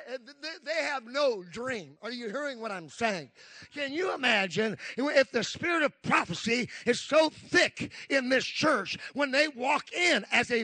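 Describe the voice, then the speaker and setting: A man's voice: very high-pitched, sharp tone. A man in his fifties preaching a Pentecostal sermon, emphatic, with frequent call-and-response asides to the congregation. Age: 50s.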